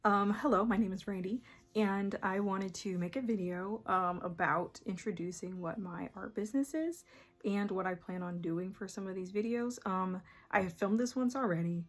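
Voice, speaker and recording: A soft-spoken young woman with a very quiet voice, chatting casually about her art business and often trailing into fillers and restarts. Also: medium pitch, moderate pace. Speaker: artist explaining her small art business. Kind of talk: casual rambling vlog.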